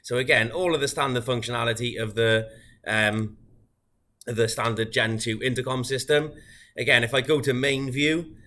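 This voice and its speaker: A man giving an informal, methodical, unscripted software walkthrough as a product demonstrator. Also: mid-range voice, steady tone, normal speed.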